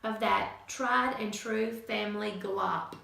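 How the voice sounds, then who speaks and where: A woman voicing a jolly, proud father moose in a storybook, with slow and deliberate delivery. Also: mid-range voice, steady tone, creaky, bright, forceful tone, full character voice. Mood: declaring. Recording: echoey room from far off.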